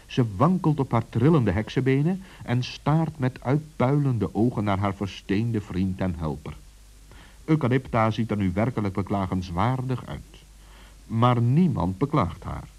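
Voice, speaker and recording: A man's voice: mid-range voice, measured pace, a little mellow, breathy edge. A man narrating a children's story expressively, as a storyteller, on a dull vintage recording.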